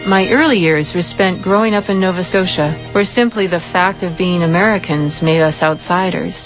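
A woman with a slightly low voice narrates a radio feature in a calm, measured, reflective way. The sound is a little muffled, with music running clearly underneath. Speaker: documentary narrator. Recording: muffled broadcast with music behind.

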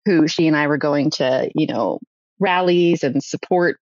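A woman's voice: low voice, measured pace, darkish tone, dry recording, dull audio. A woman telling a personal story as a podcast guest, conversationally, often acting out bits of dialogue.

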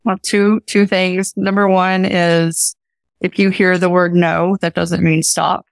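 A young woman with an Appalachian accent speaks in a fairly low, even-toned voice with some fry. The recording is dry and close, and she explains things earnestly and informally, as a guest in an interview. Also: slow pace, dry recording. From Appalachia, United States. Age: young adult. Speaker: professor and research scientist, podcast guest. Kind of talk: conversational interview answers.

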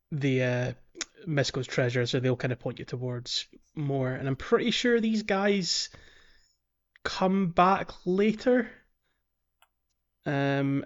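A man's voice: fairly high pitch, slow pace, long pauses, highs cut off. A man hosting a casual video game playthrough podcast, explaining things in a hesitant, rambling way. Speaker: podcast host.

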